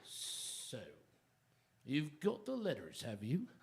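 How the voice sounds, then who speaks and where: A man playing a menacing, blustering villain in a radio drama, threatening and emphatic, with a rough voice. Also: a little high, wildly swooping pitch, takes it slowly, very noisy, exaggerated voice.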